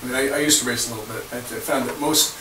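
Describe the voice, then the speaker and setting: A man's voice: medium pitch, steady tone, racing, sharp tone. A middle-aged man co-hosting a casual chat, chatty and agreeing, recorded from a distance in an office room. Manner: chatty, backs others up. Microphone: far camera mic. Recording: roomy, distant, faint hiss.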